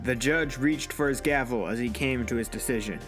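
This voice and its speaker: A man's voice: medium pitch, fairly quick, a bit bright, faint music behind. A man reading scripted narration as the narrator of an audio drama.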